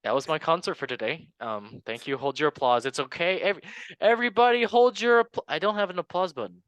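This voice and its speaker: A man's voice: a little high, fast talker, clear, resonant tone, dry recording, highs cut off. A man hosting a casual football podcast, joking about himself and reading out league tables. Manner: jokey, self-mocking, animated. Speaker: podcast host. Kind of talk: casual sports chat.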